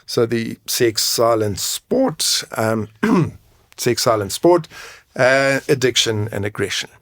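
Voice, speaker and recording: A man's voice: medium pitch, expressive, slow pace, a bit bright, no echo, clean studio sound. A man explaining things frankly and conversationally as an interview guest, often checking his points with a tag question. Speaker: guest, men's mental health coach and advocate.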